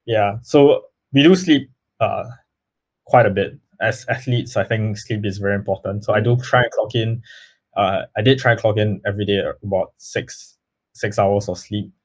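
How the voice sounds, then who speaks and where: A man's voice: lowish voice, normal speed. A young man from Singapore, giving earnest interview answers full of fillers and restarts. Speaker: guest, baseball player. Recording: slightly muffled lo-fi audio.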